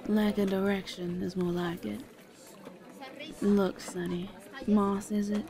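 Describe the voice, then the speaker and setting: Brash, folksy small-town matron. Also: female voice, medium pitch, level tone, slow, warm tone. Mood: frank, reassuring. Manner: folksy, blunt, teasing. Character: brash, bossy, motherly small-town eatery woman. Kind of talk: audio theater acting.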